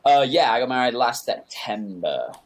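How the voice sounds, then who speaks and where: A young man guesting on an interview podcast, talking in a relaxed, rambling way with lots of fillers and restarts. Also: medium pitch, normal speed. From United Kingdom. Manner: rambling, laid-back, lots of fillers and self-corrections. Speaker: guest, music producer. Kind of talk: casual interview chat.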